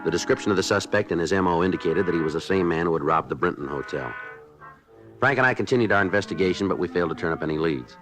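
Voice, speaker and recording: An actor playing a deep-voiced deadpan police sergeant. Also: male voice, quick pace, music in the background, close to an everyday voice. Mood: narrating. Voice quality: flat, clipped, matter-of-fact delivery. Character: terse, deadpan male police sergeant narrator. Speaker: detective narrator. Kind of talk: 1950s radio drama narration.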